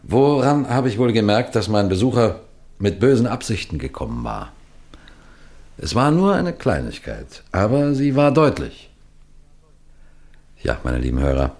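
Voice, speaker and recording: A man's voice: on the low side, big pitch swings, moderate pace, long pauses, darkish tone. A man playing a wily, composed police detective in an old-fashioned radio crime play, courteous and teasing in a theatrical way. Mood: genial, teasing. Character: wily, composed male police detective telling his own case. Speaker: narrator and lead actor.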